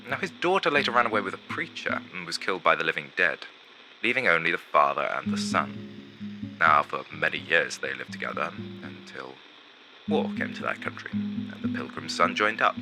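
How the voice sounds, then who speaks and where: A man playing a vain, gloomy undead showman who narrates an animated film in a pompous, drawn-out theatrical manner. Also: very deep voice, gravelly, exaggerated voice, low-quality recording. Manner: dramatic, pompous, drawn-out delivery with hushed asides.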